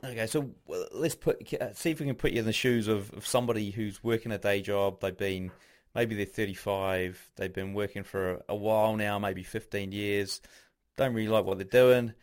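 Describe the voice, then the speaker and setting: A man's voice: on the low side, steady tone, normal speed. A man conducting a casual interview, asking conversational questions.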